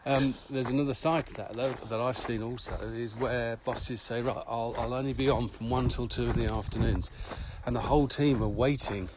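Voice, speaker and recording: A man's voice: mid-range voice, steady tone, moderate pace, few pauses. Man chatting over noisy background. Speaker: podcast co-host and management adviser. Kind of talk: casual podcast discussion. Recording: clearly noisy background with clicks.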